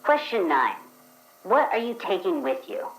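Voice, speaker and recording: A woman as a lively procedural questioner. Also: medium pitch, unhurried, rough recording. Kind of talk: actual play, reading scripted questions.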